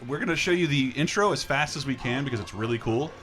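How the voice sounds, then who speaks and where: A man's voice: mid-range voice, animated, fast talker, a bit bright, faint music behind. A man casually commentating as host of a gaming livestream, enthusiastic and wisecracking.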